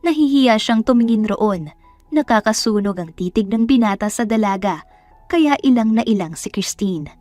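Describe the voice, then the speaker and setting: A woman's voice: a little high, animated, moderate pace, darkish tone, dry recording, faint music behind. A woman narrating a scripted romance audio drama as a storyteller.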